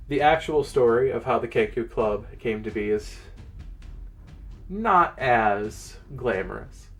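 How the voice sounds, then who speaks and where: Brief: male host and game master, dramatic fantasy narration, mid-pitched, deliberate pauses, music underneath. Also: animated, fairly bright tone. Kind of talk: dramatic reading and channel presenting.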